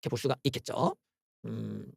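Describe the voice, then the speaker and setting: A man's voice: mid-range voice, fairly quick, creaky, husky, no echo. A man hosting a solo political commentary channel, ranting in a heated, sarcastic way with exclamations and curses. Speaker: political YouTube host.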